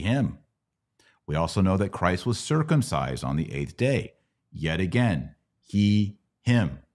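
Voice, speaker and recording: Male podcast host, deep pitch, animated intonation, emphatic, conversational religious teaching, repeats key words.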